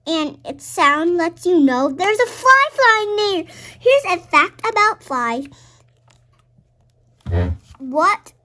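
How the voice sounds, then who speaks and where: Young girl, very high voice, slow halting read-aloud of a children's book. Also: big pitch swings, pushed and bright. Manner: halting reading, stumbles and repeats words. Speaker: read-aloud show host.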